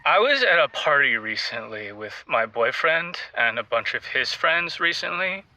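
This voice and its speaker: Bright, forceful, dim himbo caller. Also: male voice, medium pitch, very animated, normal speed, no room sound, heavily acted, poor audio. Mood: earnest, hurt. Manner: naive, emphatic, literal. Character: dim, earnest, eager himbo radio caller. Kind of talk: scripted comedy acting.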